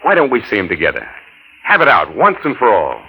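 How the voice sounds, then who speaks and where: An actor playing an ardent secret lover, earnest, impatient and insistent, in a pleading 1940s radio drama style. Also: male voice, fairly low pitch, huge pitch swings, fairly quick, creaky, bright, forceful tone, full character voice. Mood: urgent, insistent. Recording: noisy old radio recording.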